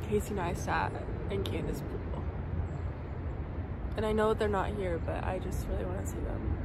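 A young adult woman vlogging casually outdoors on a handheld phone, rambling and often saying "like". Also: mid-range voice. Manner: rambling, filler-heavy with frequent "like". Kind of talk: casual vlog chat. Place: outdoors in city streets and parks. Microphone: phone or camera at arm's length. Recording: very noisy handheld vlog audio.

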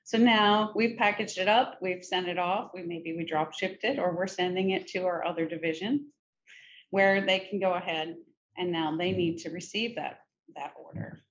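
A middle-aged woman presenting a software demo in a conversational, informal step-by-step tutorial style. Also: fairly low pitch, steady tone, normal speed. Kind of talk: conversational screen-share tutorial. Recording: muffled, noisy screen-recording audio.

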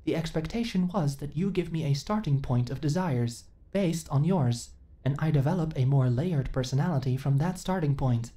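Woman as a low, mellow, formal female android. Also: normal speed, few pauses, close to an everyday voice. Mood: flat, hesitant. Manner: stilted, formal, literal, emotionally flat delivery. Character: female companion android, earnest, uncertain, eager to serve. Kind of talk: ASMR roleplay audio drama.

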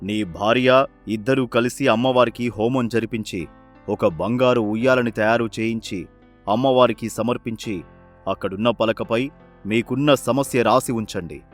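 A man's voice: medium pitch, normal speed, soft background music, natural voice. A man playing an authoritative, fatherly village headman in a moral-story cartoon, with a formal, oratorical manner. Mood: reassuring.

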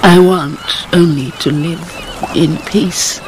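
A woman acting in a radio drama as a gentle, grieving former lover, tender and formal in manner. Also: deep voice, steady tone, takes it slowly, very noisy. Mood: sorrowful, resigned.

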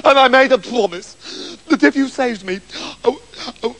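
A man's voice: very high-pitched, moderate pace, fairly bright tone, voice pushed up, full character voice, poor audio. A man voicing a cowardly, pleading brother in a children's story, melodramatic and stammering. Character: cruel, cowardly brother turned pleading and gushing.

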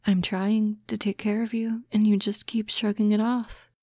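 A woman voicing a doting, teasing fox-girl girlfriend in a soft, breathy, coaxing ASMR roleplay, close to the microphone. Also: mid-range voice, flat delivery, no room sound, natural voice. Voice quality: soft, breathy, often whispering. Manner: slow, coaxing, cooing. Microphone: close, dry microphone.

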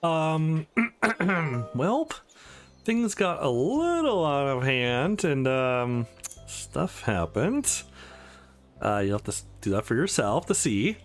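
A man's voice: fairly high pitch, sing-song, slow, faint music behind. A man reading a visual novel aloud as a let's play host, acting out dialogue playfully and adding asides.

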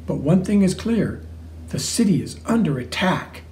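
A man voicing a measured, formal television news reporter covering a live event. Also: high voice, sing-song, darkish tone, roomy sound, slight background noise. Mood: urgent. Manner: formal, newsy.

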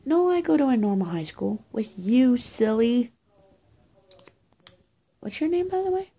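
A young adult woman doing a soft-spoken, improvised roleplay as a friendly good witch of high-school age, talking casually and haltingly to the viewer and often dropping to a near-whisper. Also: big pitch swings. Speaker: roleplay video creator. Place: small indoor room, plain walls. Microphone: phone or webcam at arm's length.

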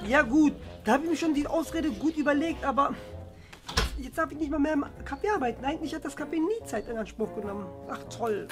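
A man's voice: very high voice, expressive, fast talker, over music. A young man acting a whiny, cheeky schoolboy son in a family web series, defensive and stammering. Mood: sulky. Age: 20s. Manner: defensive, stammering, childlike protesting. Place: small furnished rooms indoors.

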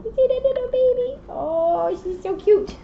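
A woman's voice: very high voice, lively intonation, moderate pace, dark tone. A woman in her thirties reading and explaining to a small child in simple language, often checking in with questions. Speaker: mother and children's read-aloud presenter. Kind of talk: reading a picture book aloud and chatting to a small child. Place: small classroom, indoors. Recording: muffled, echoey webcam audio with noise.

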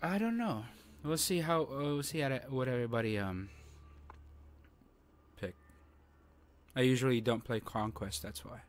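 A man's voice: medium pitch, expressive, normal speed, pauses often, no echo. A man with a Filipino accent, casually chatting with teammates while streaming a game from home on a desk mic. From Philippines. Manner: laid-back, chuckling, chatty with teammates. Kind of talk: casual gaming stream chat. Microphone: desk microphone.

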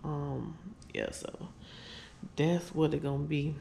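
Tired woman vlogger, gravelly low fry, casual y'all chat, faint room noise. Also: fairly even intonation, takes it slowly, mellow. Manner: chatty, tired, addresses viewers as y'all. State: weary. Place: living room, on couch, indoors. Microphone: handheld camera at close range.

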